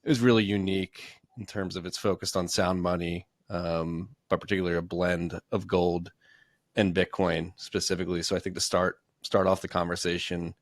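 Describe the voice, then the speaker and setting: A man hosting a podcast, introducing a guest in a relaxed, conversational way. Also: low-pitched, normal speed, dry recording. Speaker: podcast host. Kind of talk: casual interview hosting.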